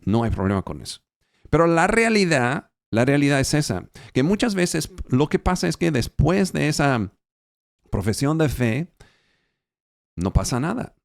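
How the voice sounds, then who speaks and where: A man preaching a sermon in a language that is not his first, halting, with fillers and restarts. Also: mid-range voice, dry recording, clean studio sound. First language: not Spanish. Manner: halting, with fillers and restarts as a non-native speaker.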